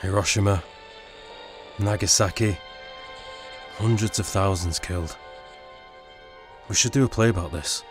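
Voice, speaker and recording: A man's voice: sits low, measured pace, long pauses, music in the background. A man acting in an audio drama as a passionate, plain-spoken working-class playwright in 1940s Britain, speaking expressively. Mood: grave, urgent.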